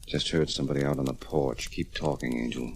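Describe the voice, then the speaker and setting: A man playing a hard-boiled, wisecracking private detective in a 1940s radio drama, brisk, sardonic and cynical. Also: extremely low pitch, steady tone, gravelly. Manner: brisk, sardonic, tough-talking. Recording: noisy old radio recording.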